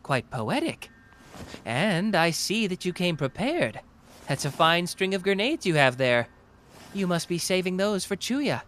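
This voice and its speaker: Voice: medium pitch, very animated, a little slow, fairly bright tone, slight background noise. A performer playing a cocky, playful young male genius detective in an anime roleplay, taunting and analytical in a theatrical way. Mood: smug taunting. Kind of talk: anime roleplay audio drama, deduction monologue.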